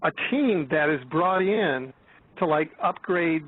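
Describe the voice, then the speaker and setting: An American man of seventy talking in a rambling, halting way in an interview, often restarting and repeating his words. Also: on the high side, expressive, dry recording. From United States, born in Florida. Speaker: guest, author and researcher. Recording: tinny phone-like line, dry.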